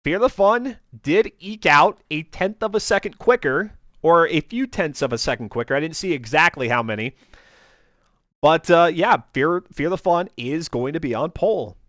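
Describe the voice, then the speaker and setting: A man's voice: a little high, expressive, moderate pace, a bit bright, no echo, studio quality. A man commentating live on a racing stream as its host, in folksy, chatty announcer patter with jokey asides. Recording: racing car noise behind the voice. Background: car engines and race ambience.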